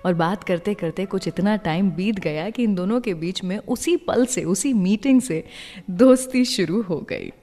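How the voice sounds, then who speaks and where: A woman's voice: mid-range voice, normal speed, warm tone. Woman radio host in long reverb. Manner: conversational, puts questions to listeners. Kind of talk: scripted radio storytelling between songs. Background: music.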